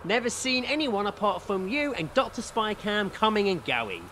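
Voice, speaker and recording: An earnest, nervous guard from a comic radio play, acted by a man, speaks deferentially. The voice is sharp and very animated, over faint noise. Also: sits high, normal speed, full character voice.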